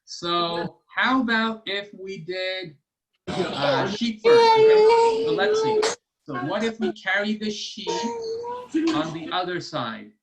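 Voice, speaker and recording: A man's voice: sits high, wildly swooping pitch, slow pace, very noisy. A man hosting a children's storytime and trivia over a video call, explaining step by step in a patient, encouraging way. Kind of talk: casual teaching to children.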